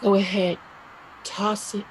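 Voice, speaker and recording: A woman's voice: medium pitch, little pitch movement, slow pace, slight background noise, natural voice, poor audio. A woman acting a restless, dreamy and bitter young Irish emigrant in a play reading, with an emphatic, lyrical delivery. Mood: goading, insistent.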